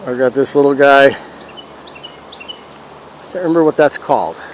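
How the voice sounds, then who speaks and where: A man's voice: medium pitch, normal speed. A man gives casual, folksy fishing commentary, chatting to viewers as he fishes outdoors. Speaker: fishing vlog host. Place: outdoors standing in a river. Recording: clear outdoor river and ambient noise.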